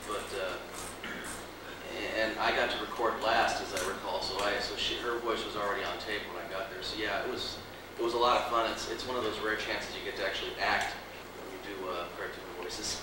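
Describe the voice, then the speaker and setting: Male panelist, 30s, lowish voice, easygoing with stops and restarts, heard from afar. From Puerto Rico, raised in California. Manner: relaxed, halting, laughs easily. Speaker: voice actor on a convention panel. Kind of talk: casual panel chat. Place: large hotel function room. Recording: echoey room, distant camcorder mic, hiss.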